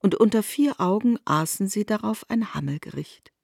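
A woman reading scripted literary narration in a measured, formal manner. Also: medium pitch, expressive, creaky, a little mellow, breathy edge, dry recording, clean studio sound.

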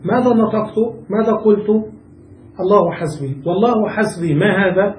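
High-voiced man teaching grammar. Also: moderate pace, roomy sound, recorded from a distance, a little hiss or hum, low-quality recording. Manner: patient, asks checking questions to students. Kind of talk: classical grammar lesson, explaining line by line.

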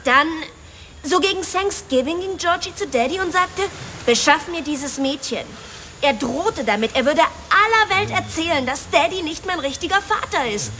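An actress in a German radio drama playing a spoiled rich daughter who confesses emotionally and justifies herself. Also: female voice, sits high, animated, moderate pace, bright, forceful tone, a little hiss or hum. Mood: defensive, confessing.

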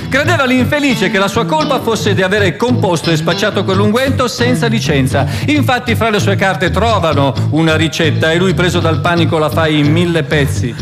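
An actor playing a stern narrator and interrogator of a historical trial, declamatory and ironic, in a theatrical radio play. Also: male voice, high-pitched, lively intonation, normal speed, hardly any pauses, music in the background. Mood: dramatic narration.

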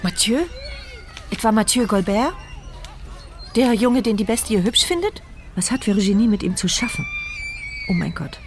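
A fearful paralysed narrator. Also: female voice, fairly high pitch, wildly swooping pitch, moderate pace, pauses often, background noise. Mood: anxious. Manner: tense, fearful, introspective. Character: paralysed mute woman Elise, anxious inner narrator. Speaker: narrator of inner thoughts. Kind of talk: radio play inner monologue.

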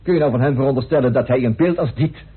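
An actor playing a sharp, questioning committee member in an old radio play. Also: male voice, medium pitch, quick pace, breathy edge. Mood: argumentative. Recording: scratchy lo-fi old radio recording.

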